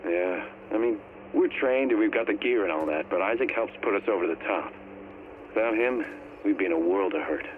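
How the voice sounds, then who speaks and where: Actor as a low-pitched salty agent, tinny sound. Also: male voice, lively intonation, fairly quick, close to an everyday voice. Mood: earnest. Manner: casual, salty, emphatic. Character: plainspoken male field agent, wry veteran. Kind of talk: casual conversational acting in a video game audio log. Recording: tinny, slightly noisy recorded audio log.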